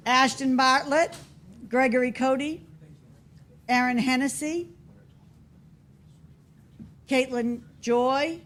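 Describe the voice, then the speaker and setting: A woman with a Boston accent announcing student awards at a formal meeting, deliberately reading out one name at a time. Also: sits high, fairly even intonation, fairly bright tone. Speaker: school staff member presenting student awards. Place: school board meeting room.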